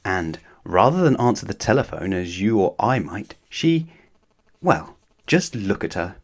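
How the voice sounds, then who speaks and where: Animated male children's story narrator. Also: lowish voice, a little slow. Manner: expressive, addressing young listeners.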